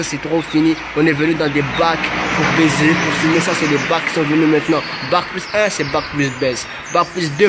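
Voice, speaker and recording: A young rapper in his twenties, from Marseille with roots in Mayotte, chants a loose freestyle in repeating rhythmic lines, swaggering with street slang. His voice sits a touch high for a man. Loud traffic noise runs under the recording. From Marseille, family from Mayotte. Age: 20s. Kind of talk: amateur rap freestyle.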